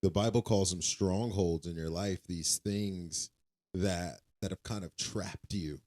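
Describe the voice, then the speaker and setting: Very deep-voiced man co-host. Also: a little slow, warm tone. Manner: encouraging, asks guiding questions, short affirmations. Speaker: podcast co-host. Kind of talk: casual chat.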